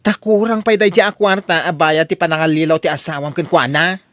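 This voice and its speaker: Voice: mid-range voice, fairly even intonation, brisk, a bit bright, no room sound, close to an everyday voice. An actor playing an outspoken, sassy but kind-hearted friend in an Ilocano audio drama, exclaiming dramatically with an Ilocano accent. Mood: scolding.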